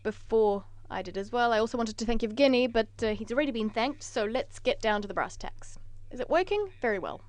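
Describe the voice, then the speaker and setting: Fairly high-voiced woman interpreter. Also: animated, fairly quick, few pauses. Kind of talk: interpreting a conference presentation.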